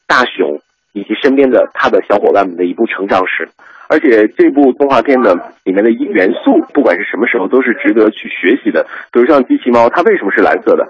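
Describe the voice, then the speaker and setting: A man's voice: mid-range voice, slightly rough, no room sound, muffled recording. A man hosting a radio show, giving chatty, explanatory commentary with frequent rhetorical questions. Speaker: radio host.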